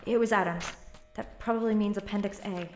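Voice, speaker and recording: A woman's voice: mid-range voice, fairly even intonation, a little fast, faint music behind, lightly acted. A woman playing a determined, anxious young college student in an audio drama. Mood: urgent. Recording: roomy, faintly noisy recording.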